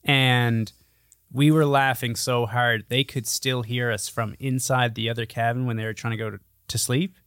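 A man's voice: mid-range voice, level tone, moderate pace, fairly bright tone, clear, resonant tone, no room sound, clean studio sound. A man in his thirties chatting casually as a podcast co-host, telling stories in a jokey, sweary way. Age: 30s. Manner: profane, jokey. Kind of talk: casual chat, storytelling.

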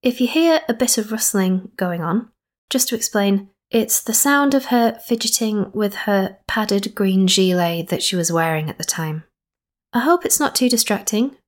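A young woman from Skipton, hosting and interviewing in a rambling style with playful asides. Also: mid-range voice. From Skipton, North Yorkshire. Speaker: podcast host. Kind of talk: chatty spoken introduction and interview.

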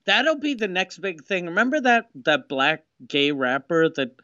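Bright, high-voiced man riffing. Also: sing-song, no room sound, highs cut off. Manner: joking, quick riffs. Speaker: podcast co-host. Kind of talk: casual banter.

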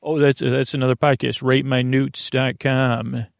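A man hosting a bedtime podcast in a rambling, halting monologue, trailing off, digressing and circling back to his point. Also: mid-range voice, little pitch movement, vocal fry, no room sound. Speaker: podcast host.

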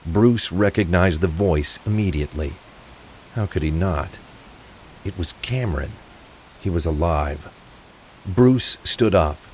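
A man's voice: deep voice, unhurried, pauses often, darkish tone. A man reading scripted narration for a crime thriller audio drama. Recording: faint rain and traffic ambience. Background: rain, vehicle sounds.

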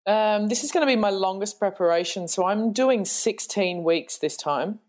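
A woman's voice: medium pitch, expressive, normal speed, a little mellow. A woman guest in a casual interview, speaking earnestly and reflectively, with some restarts. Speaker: guest, fitness trainer.

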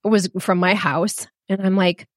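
A middle-aged woman telling a personal story in a frank, animated and self-deprecating way. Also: mid-range voice, normal speed, no echo, studio quality. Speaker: storyteller guest.

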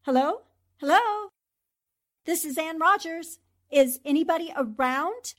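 A woman's voice: very high voice, expressive, a little slow. A woman playing a plucky, wisecracking young newspaperwoman sleuth in a 1940s-style radio drama, with a flippant, arch way of talking. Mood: nervous, flippant. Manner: talks to herself, flippant, arch.

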